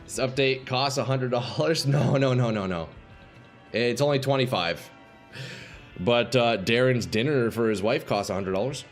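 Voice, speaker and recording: A man streaming a game live, chatting casually with viewers, swearing and reacting loudly, his voice going a little hoarse. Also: mid-range voice, normal speed. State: slightly hoarse, losing voice. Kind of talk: casual live-stream chat while playing. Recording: faint game music behind.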